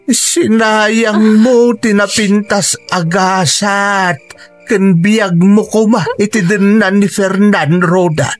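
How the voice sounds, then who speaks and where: A man's voice: high-pitched, fairly even intonation, slow, soft background music. An actor with a Filipino Ilocano accent playing a stern, aggrieved father in a radio drama. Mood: angry, anguished. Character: stern, aggrieved father scolding his child.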